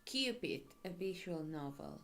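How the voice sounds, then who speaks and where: A woman with a Spanish accent hosts a game stream in casual chat. Her voice sits slightly low and her overall delivery is unhurried. She is informal and self-deprecating. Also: big pitch swings, fairly bright tone, faint music behind. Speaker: livestream host.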